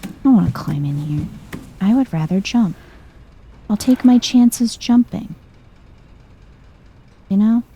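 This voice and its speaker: A woman giving soft-spoken, chill ASMR-style commentary as she plays a video game, murmuring as if to herself. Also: medium pitch, lively intonation, takes it slowly, pauses often, breathy edge. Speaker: video game streamer, let's player.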